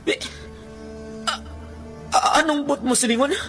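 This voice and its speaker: A man's voice: very high-pitched, unhurried, full character voice. A man with a Western Visayan Filipino accent playing a frightened, stammering, wailing captive in a radio drama. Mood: frightened, confused. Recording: loud dramatic music behind.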